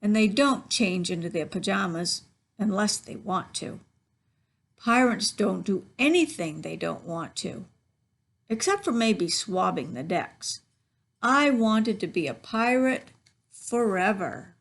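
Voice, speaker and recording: A woman's voice: medium pitch, lively intonation, slow pace. A woman reading a children's story expressively, with chatty asides to young listeners about the pictures. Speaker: library storytime reader. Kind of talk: picture-book read-aloud with chatty asides.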